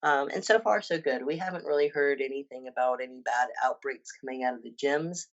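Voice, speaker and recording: A woman's voice: extremely low pitch, a little fast, lo-fi sound. A woman in her fifties, a personal trainer and bodybuilder, talking casually as a podcast guest with many ums and restarts. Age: 50s.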